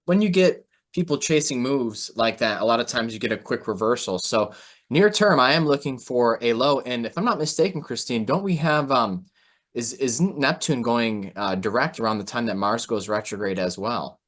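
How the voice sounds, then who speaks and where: A man's voice: medium pitch, expressive, normal speed, a bit bright. Man, mid-range voice, podcast host, analyst, casual interview talk, agreeable, conversational. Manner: agreeable, frequent short affirmations.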